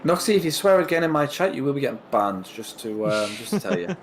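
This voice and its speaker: A British man chats casually as co-commentator on a racing livestream. His intonation swings widely over a mid-pitched voice, and he talks in joking, stop-start phrases. Noise is clearly audible behind him. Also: sing-song, quick pace. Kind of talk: casual chat during race commentary. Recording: noisy voice chat on race stream.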